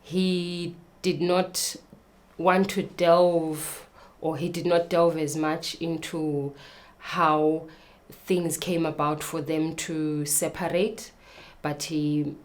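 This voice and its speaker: Slow, low-voiced woman from Zimbabwe. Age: 30s. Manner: slow, careful, with many pauses and ums. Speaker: guest. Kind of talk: personal appeal, telling her story.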